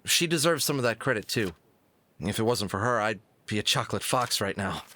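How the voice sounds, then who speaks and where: Man as a low, lively fox adventurer. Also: brisk, a little hiss or hum. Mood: sheepish. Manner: casual, wry, hesitant. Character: sheepish, well-meaning male fox adventurer. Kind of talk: audio drama acting.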